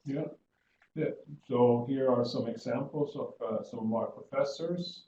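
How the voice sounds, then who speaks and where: A middle-aged man from Sweden, a professor giving an informal webinar presentation in a halting way with restarts. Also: mid-range voice, mellow. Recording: muffled, echoey room, faint noise.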